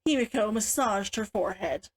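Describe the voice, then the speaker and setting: A woman reading her own book aloud as an author, in an expressive audiobook style. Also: medium pitch, normal speed, husky.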